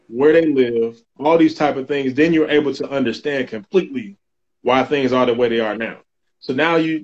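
Man in his 40s lecturing calmly over a webcam, kinda dull lo-fi audio. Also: mid-range voice. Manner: steady, instructive, keeps adding "okay?". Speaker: genealogy guest. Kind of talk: explaining in an interview. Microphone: webcam on video call.

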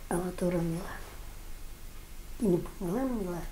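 A woman's voice: on the low side, fast talker, warm tone. Emphatic elderly Greek village woman. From Greece. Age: older. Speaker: interviewee, great-aunt of the reporter. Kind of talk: emotional personal recollection. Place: living room in a village home. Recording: roomy indoor sound with faint noise.

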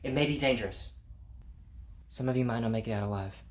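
A man's voice: medium pitch, brisk, echoey room, close to an everyday voice. A young man acting a self-important, melodramatic guy in an amateur comedy sketch, with a pompous, theatrical delivery. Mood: grandiose. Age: young adult. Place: house porch and living room. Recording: noisy amateur camera sound.

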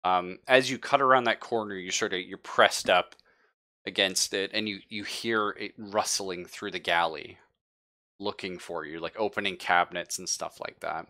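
Bright, forceful low-voiced man running a game. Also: normal speed. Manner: informal, profane. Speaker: game master. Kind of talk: casual tabletop game narration and chat.

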